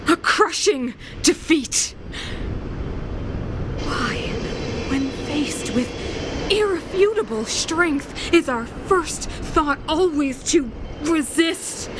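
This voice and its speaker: A woman voicing a fanatical, commanding female ruler in a video game, cold and grandiose, with theatrical, deliberate oratory. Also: extremely high pitch, noisy background, exaggerated voice. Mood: impassioned, fanatical. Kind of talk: dramatic video game voice acting.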